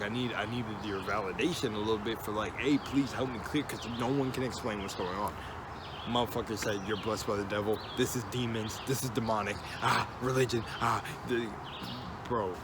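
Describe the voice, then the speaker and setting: A man telling a story in a casual, rambling vlog, swearing and restarting his sentences as he talks outdoors. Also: lowish voice. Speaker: vlogger. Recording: loud outdoor background noise.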